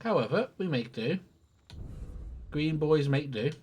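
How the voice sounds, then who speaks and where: A man's voice: on the high side, animated, a little slow, darkish tone, slight background noise. A man giving casual live game commentary as a streamer, with a dry, self-deprecating, sweary manner.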